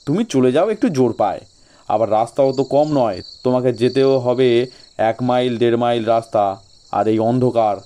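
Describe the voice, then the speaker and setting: A man playing a sly local gentleman in an audio story, giving ominous ghost warnings with feigned reluctance. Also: medium pitch, moderate pace, close to an everyday voice. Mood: dismissive, wary.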